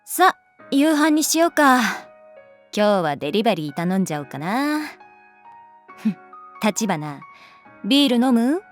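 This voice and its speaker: A woman playing a cool, caring teacher in a Japanese voice drama, talking in a laid-back, gently teasing way. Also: on the high side, very animated, unhurried, pauses often, soft background music. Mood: playful, teasing. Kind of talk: Japanese voice drama acting, conversational.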